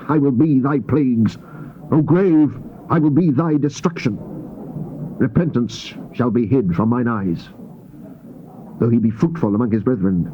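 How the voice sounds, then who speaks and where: A man gives a grave, theatrical scripture reading, declaiming with deliberate phrasing and emphatic pauses. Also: mid-range voice. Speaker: scripture narrator. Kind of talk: dramatized Bible reading, solemn and theatrical. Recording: dull, noisy old cassette tape transfer.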